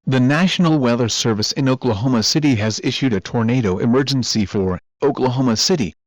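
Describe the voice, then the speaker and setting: A man's voice: medium pitch, a little fast, dry recording, clean studio sound. A man reading scripted weather emergency bulletins in a formal, automated-sounding delivery. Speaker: emergency alert announcer.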